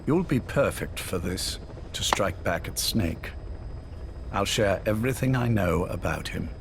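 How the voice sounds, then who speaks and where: An actor playing an older male spymaster who speaks in a calm, courteous, probing way. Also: deep voice, a little slow, creaky, background noise, full character voice. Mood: measured.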